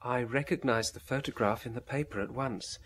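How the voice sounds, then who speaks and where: A man's voice: medium pitch, brisk, a bit bright, lightly acted. An actor playing a meek, anxious, defeated man in his thirties who stammers hesitantly in a radio drama. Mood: nervous. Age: 30s. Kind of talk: old radio drama acting.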